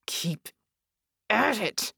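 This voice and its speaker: A woman voicing a wounded man with a croaky, breathy hiss, wry and stubborn, in an audiobook. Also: mid-range voice, expressive, drawn-out words, bright, forceful tone, no echo, studio quality, full character voice. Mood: strained.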